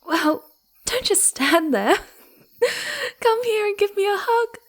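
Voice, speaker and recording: High-pitched, playful kitsune fox spirit. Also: female voice, very animated, drawn-out words, soft background music, full character voice. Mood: joyful, teasing. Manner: giggly, cutesy, teasing, often hesitant. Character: playful, mischievous young female kitsune fox spirit, affectionate and excitable. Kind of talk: ASMR roleplay.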